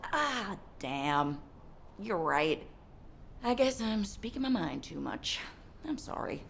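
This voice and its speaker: An actress playing an excitable, curious, outspoken young woman engineer, chatty and exuberant, in a video game. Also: mid-range voice, slow pace, gravelly, a little hiss or hum, full character voice. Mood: sheepish. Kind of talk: video game cutscene acting.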